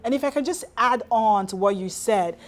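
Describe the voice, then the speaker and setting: Admiring woman host, fairly high voice. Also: normal speed. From Nigeria. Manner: warm, admiring, encouraging. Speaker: interview host. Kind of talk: interview conversation.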